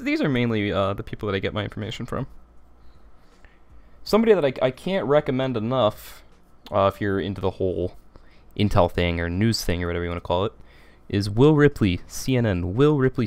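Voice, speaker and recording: Rambling teenage boy streamer, deep voice. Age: teens. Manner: rambling, repeats phrases, many fillers. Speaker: livestream host. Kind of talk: casual livestream chat reading comments.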